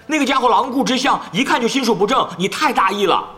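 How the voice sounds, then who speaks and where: Brief: man voicing an excitable pro gamer, high and very lively, with music under the audio drama. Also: heavily acted. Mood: prodding. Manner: rapid, pestering, excitable chatter. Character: chatty, nosy young male pro gamer. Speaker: voice actor. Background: background music.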